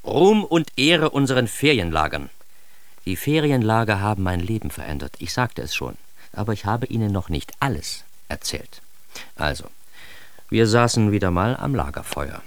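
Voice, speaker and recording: A bitter, self-mocking son looking back on his youth in a radio drama. The voice sits low, and he confides in the listener directly, as in a confession. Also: sing-song, normal speed, no room sound. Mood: storytelling. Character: self-deprecating, bitter grown son recounting his East German youth. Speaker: narrator and protagonist of a radio drama. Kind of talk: first-person monologue in a radio play.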